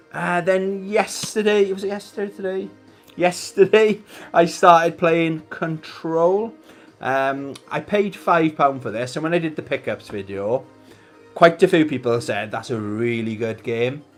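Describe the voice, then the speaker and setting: Welsh male streamer, mid-pitched, informal and rambling, jokey gamer chat, light music underneath. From Wales. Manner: rambling, jokey, swears casually. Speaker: host of a gaming live stream. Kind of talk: casual chat to live viewers.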